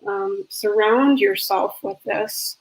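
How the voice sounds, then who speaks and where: A woman's voice: medium pitch, slow pace, gravelly, husky, poor audio. A woman hosting a casual video interview, upbeat and encouraging, with lots of ums and you-knows. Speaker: host.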